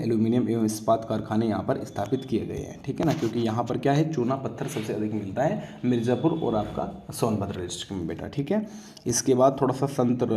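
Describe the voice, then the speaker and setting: A man's voice: medium pitch, warm tone. A man lecturing as an exam-coaching teacher, going point by point in an instructive, familiar way with frequent check-in tags.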